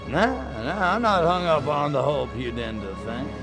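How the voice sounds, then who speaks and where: An actor playing a smug, crude, wisecracking little alien invader in a video game, with a sarcastic, mocking delivery. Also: male voice, fairly high pitch, lively intonation, moderate pace, music in the background, lightly acted. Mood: cocky teasing. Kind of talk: video game cartoon voice acting.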